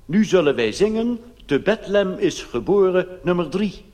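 A man playing a solemn Protestant preacher in a cabaret sketch, addressing his congregation in a drawn-out, declamatory pulpit delivery. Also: sits high, expressive, a little mellow, reverberant, in a large hall, voice pushed up.

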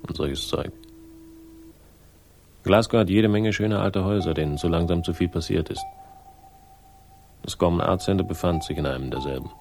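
An actor playing a world-weary male sleuth in a German radio crime drama, speaking with dry, laconic irony and a gravelly voice. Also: sits low, moderate pace, long pauses, darkish tone. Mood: wry narration. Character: sardonic, world-weary male crime sleuth telling his own story. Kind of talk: 1960s German radio crime drama, first-person narration and dialogue.

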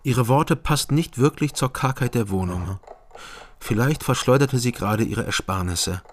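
A man's voice: mid-range voice, expressive, moderate pace, background noise, natural voice. An actor playing a laconic ex-policeman turned private detective, narrating a radio drama in a dry, matter-of-fact way.

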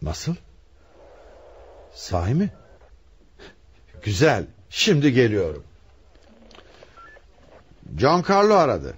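A man's voice: mid-range voice, wildly swooping pitch, slow, long pauses, slightly rough, heavily acted. A man acting a commanding homicide commissioner in a radio play, with an emphatic, questioning, theatrical delivery. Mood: probing, businesslike.

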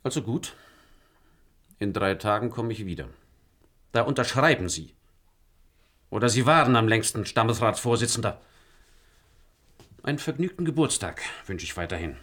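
An actor playing a smooth, calculating corporate manager in a German radio drama, polite and insinuating. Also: male voice, lowish voice, expressive, moderate pace, long pauses, a bit bright. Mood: smug, threatening.

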